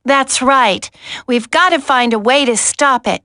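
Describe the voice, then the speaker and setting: A woman's voice: sits high, measured pace, pushed and bright, no room sound, clean studio sound. A woman playing a capable, wry, no-nonsense tech specialist in a video game, teasing her teammate. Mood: concerned, focused.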